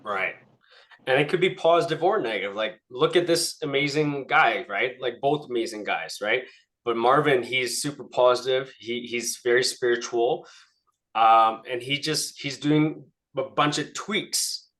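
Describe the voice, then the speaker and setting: A man's voice: mid-range voice, measured pace, fairly bright tone. A man leading a group coaching session, speaking casually and often checking in with a questioning "right?".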